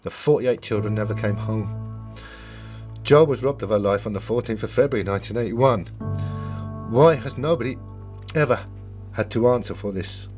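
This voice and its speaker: A man's voice: lowish voice, a little mellow. Solemn middle-aged Irish man over music. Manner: halting, solemn. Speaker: bereaved brother giving a family statement. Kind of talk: personal testimony, partly recalled and partly read.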